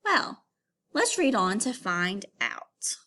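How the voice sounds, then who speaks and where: A woman teacher reading an informational text aloud to young children, pausing to give instructions and put questions to them. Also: mid-range voice, big pitch swings, pushed and bright.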